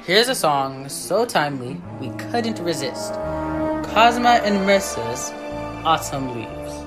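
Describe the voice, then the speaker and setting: A man's voice: a little high, big pitch swings, slow, over loud music. A young American man hosting a show with a scripted introduction in 1930s radio style. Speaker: show host.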